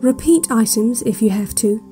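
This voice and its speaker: An actress playing a calm, controlling relaxation-cassette instructor in an audio drama, measured, soothing and deadpan, quietly sinister. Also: female voice, fairly high pitch, faint music behind, close to an everyday voice. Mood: hypnotic, deadpan.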